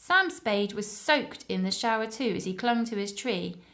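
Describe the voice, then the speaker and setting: A woman's voice: a little high, expressive, moderate pace, fairly bright tone. A woman reading a story and chatting to young viewers in simple, child-directed phrases. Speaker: playgroup story reader and presenter.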